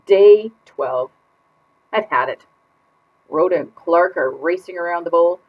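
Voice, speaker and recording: A woman's voice: fairly low pitch, expressive, unhurried. A woman reading a children's picture book aloud as a put-upon goldfish keeping a diary, with exaggerated, exasperated emphasis. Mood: frantic, fed up. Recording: dull lo-fi sound.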